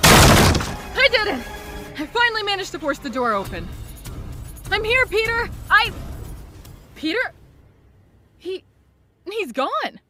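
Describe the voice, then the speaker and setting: A woman's voice: extremely high pitch, big pitch swings, slow, long pauses, over music, full character voice. A woman playing a young, worried friend of a superhero in a comic dub, with an emotional, dramatic delivery. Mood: worried, frantic. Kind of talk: melodramatic comic dub acting.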